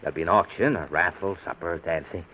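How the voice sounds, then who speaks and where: An actor playing an anxious husband with a secret in a 1940s radio drama, outwardly genial but tense underneath. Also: male voice, deep voice, quick pace, close to an everyday voice. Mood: genial, soothing. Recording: muffled, noisy old 1940s radio recording.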